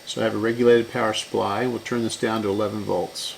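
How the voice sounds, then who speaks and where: A man with a low-pitched, creaky voice talks through hands-on repair work. He speaks casually and without a script, thinking aloud as he goes. A little noise sits behind him. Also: level tone, fast, rough recording. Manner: unscripted, thinking aloud while working. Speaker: repair channel host.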